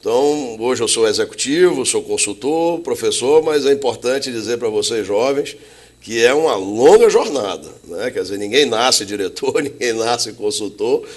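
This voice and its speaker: A man's voice: medium pitch, lively intonation, normal speed. Middle-aged man, interview guest, tells stories, chatty and easygoing. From Bahia, Brazil. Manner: chatty, anecdotal, frequent tag questions. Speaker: interview guest, logistics executive. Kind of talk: relaxed storytelling in an interview.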